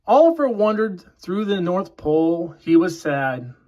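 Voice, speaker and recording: A man reading a children's picture book aloud in a deliberate storytelling way. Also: sits high, very animated, a little mellow, muffled recording.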